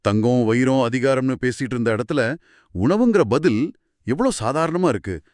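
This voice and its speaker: A man's voice: mid-range voice, expressive, moderate pace, a little mellow, dry recording, clean studio sound. A man co-hosting a conversational podcast about a folk tale, explaining things and posing reflective questions. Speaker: co-host.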